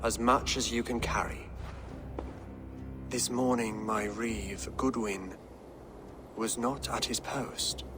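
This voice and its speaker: An actor playing a stern, guarded medieval king in a video game, speaking formally and with deliberate command. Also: lowish voice, fairly even intonation, music in the background. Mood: reluctant, measured. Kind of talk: dramatic video game acting.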